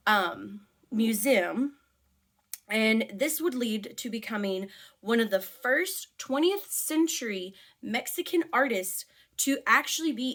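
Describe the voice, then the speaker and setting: A woman's voice: medium pitch, fairly bright tone. Giggly, informal woman telling a story. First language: English. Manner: informal, giggly, chatty asides. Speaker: teacher. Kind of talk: casual storytelling while doing makeup.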